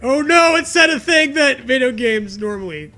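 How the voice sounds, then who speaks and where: A man's voice: very high-pitched, lively intonation, normal speed, bright, forceful tone, faint music behind. A man co-hosting a comedy read-along, riffing casually with crude, sarcastic jokes. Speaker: co-host reacting to a read-aloud story.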